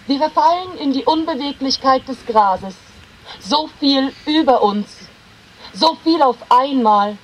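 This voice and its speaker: High-voiced woman reading poetry slowly. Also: slight background noise, low-quality recording. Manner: measured, deliberate phrasing with pauses between short lines. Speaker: poetry reader.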